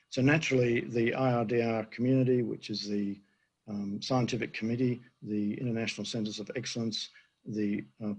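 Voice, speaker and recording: Older guy presenting at a conference, hoarse and husky, low voice, lots of ums. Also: a little mellow, poor audio. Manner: halting, many fillers and restarts. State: hoarse, losing his voice. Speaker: conference presenter, emeritus professor. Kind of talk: conference presentation over video.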